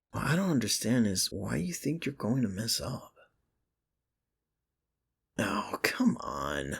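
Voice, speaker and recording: Man acting as gravelly, husky, sardonic office rival. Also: mid-range voice, darkish tone, heavily acted. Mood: softly concerned, then reassuring. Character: sardonic, secretly caring young male office rival. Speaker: ASMR voice actor. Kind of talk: intimate ASMR romance roleplay.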